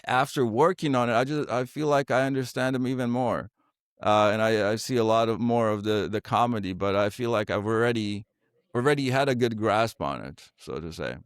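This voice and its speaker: A man from Bosnia, a theater director and actor, talking in a casual interview, halting with many fillers and restarts. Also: on the low side, clean tone, no echo, clean studio sound. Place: podcast studio.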